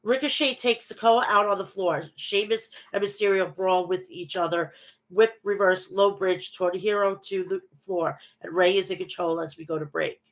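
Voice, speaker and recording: A woman's voice: fairly low pitch, a bit bright, low-quality recording. A woman hosting a casual wrestling recap, talking through a stuffy nose from a bad cold, reading match results and chatting with viewers. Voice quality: nasal.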